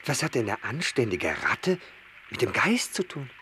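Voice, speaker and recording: An actor playing an eager, cheeky rat who prods his companion in an expressive way in a radio play. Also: mid-range voice, moderate pace. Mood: puzzled. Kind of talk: German radio play acting. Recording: noisy old radio drama recording.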